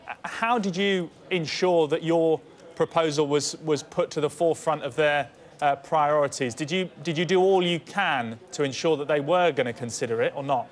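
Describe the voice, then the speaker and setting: A man interviewing for sports news, putting his questions in a hesitant, halting way. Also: a little high. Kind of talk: live broadcast interviewing.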